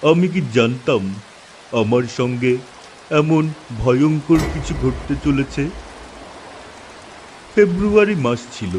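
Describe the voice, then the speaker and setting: A man playing an older, easygoing village uncle in an audio story, reminiscing in measured phrases with savouring pauses. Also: fairly high pitch, a little mellow, a little hiss or hum, poor audio. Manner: measured, pausing, savouring his words.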